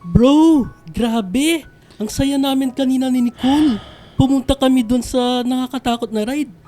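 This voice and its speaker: High-voiced easygoing young man. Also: a little slow, mellow. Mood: excited, chatty. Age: young adult. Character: Jeremy, chatty, easygoing young man in love. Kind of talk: radio drama acting. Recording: music behind the dialogue.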